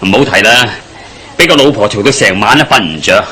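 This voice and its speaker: An actor playing a grumbling, henpecked village husband with a Hong Kong Cantonese accent, sighing and complaining in a radio drama. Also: male voice, lowish voice, lively intonation, fairly quick, sharp tone, slightly rough, full character voice, rough recording.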